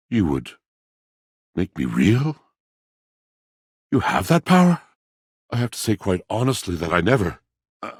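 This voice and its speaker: An actor playing a lovelorn, poetic washing machine in a video game, with florid, dramatic phrasing and a husky voice. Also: male voice, sits low, dry recording, studio quality, heavily acted. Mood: courtly, pleased, then eager, awed. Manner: florid, grandiloquent, dramatic phrasing with weighty pauses. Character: lovelorn, poetic, theatrical male washing machine come to life. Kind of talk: theatrical video game character acting.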